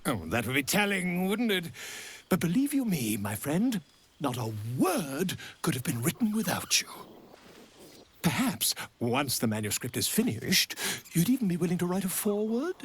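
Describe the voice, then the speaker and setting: An actor playing a flamboyant, self-promoting writer in a video game, theatrical, chummy and wheedling. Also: male voice, sits high, very animated, normal speed, slightly rough, heavily acted. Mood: sly, chummy. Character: flamboyant, self-promoting, slyly charming writer and old friend. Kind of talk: video game character acting.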